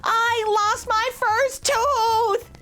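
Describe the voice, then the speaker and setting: A woman's voice: very high-pitched, fairly even intonation, extremely slow, bright, forceful tone, faint music behind, voice pushed up, exaggerated voice. A woman voicing a nervous young boy shark in a storybook, speaking in worried, eager rhyming lines. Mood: excited.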